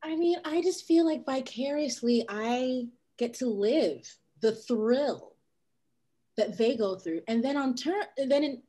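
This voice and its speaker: A woman's voice: sits high, dark tone. A woman improvising conversational comedy scenes on a video call from a room at home. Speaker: improv performer.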